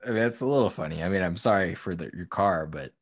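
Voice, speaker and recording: A young man talks in a deep, low register, relaxed and quick to laugh. His delivery is easygoing chat, and the recording sounds dry and close to the mic. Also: dry recording. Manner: laughs often, relaxed and joking. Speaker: podcast co-host. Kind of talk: casual chat.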